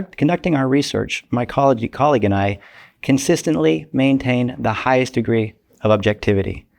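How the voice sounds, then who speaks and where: An older man, an economics professor, giving a measured, formal, lecture-like explanation. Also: medium pitch, a little mellow. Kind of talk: prepared explanatory talk.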